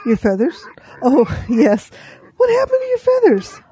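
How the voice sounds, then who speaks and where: A very high but soft-toned hen acted by a woman, gushing and inquisitive. She chats with lively swoops in a comic radio-play style. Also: unhurried, exaggerated voice. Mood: curious. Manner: gushing, chatty. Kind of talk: comic audio drama acting.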